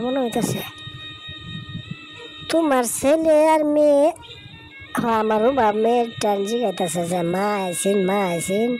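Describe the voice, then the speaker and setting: A woman's voice: on the high side, natural voice, poor audio. A woman voicing an older village auntie, a chatty, rustic and gossipy neighbour in a cartoon. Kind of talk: Bengali moral-story cartoon dialogue. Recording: loud music behind the voice.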